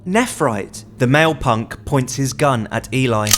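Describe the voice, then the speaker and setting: A man reading scripted narration as the writer, in a measured, deliberate storytelling manner, describing action in the present tense. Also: mid-range voice, background noise.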